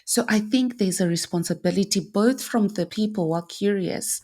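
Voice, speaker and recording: A woman from Zimbabwe in her thirties, a mother talking calmly and reflectively in casual conversation. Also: medium pitch, normal speed. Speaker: mother and guest.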